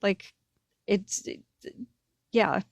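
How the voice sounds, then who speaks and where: A woman's voice: extremely slow, no echo. An American woman from Connecticut co-hosting a casual discussion, speaking informally and repeating her points for emphasis. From Connecticut, United States. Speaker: podcast co-host and writer.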